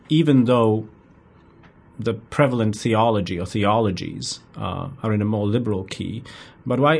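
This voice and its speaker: A man from Poland with a Polish accent, speaking as a guest professor in an academic interview, thoughtful and measured, sometimes restarting his words. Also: on the low side.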